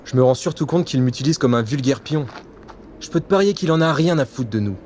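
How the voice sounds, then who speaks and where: An actor playing a weary, sardonic secret agent in an audio drama, sarcastic and given to muttering. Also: male voice, medium pitch, lively intonation, fairly quick, darkish tone, a little hiss or hum, close to an everyday voice. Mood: jaded.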